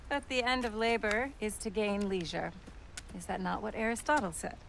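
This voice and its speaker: An actress playing an educated, earnest, self-deprecating genteel young widow in a video game, speaking in a refined, articulate way. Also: female voice, mid-range voice, moderate pace, gravelly, fairly bright tone, a little hiss or hum. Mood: bright, determined. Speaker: voice actor. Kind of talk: cinematic video game acting.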